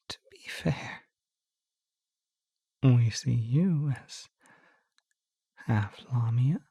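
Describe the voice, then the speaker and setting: A woman voicing a gentle, shy young male naga in an ASMR roleplay, speaking in a soft, hesitant, breathy whisper. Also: a bass voice, no echo, full character voice. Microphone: close microphone, dry.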